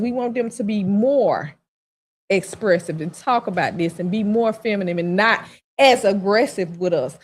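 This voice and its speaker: A woman's voice: mid-range voice, darkish tone. A mother in a casual talk-radio discussion, earnest and persuasive, stacking up repeated phrases to build her point.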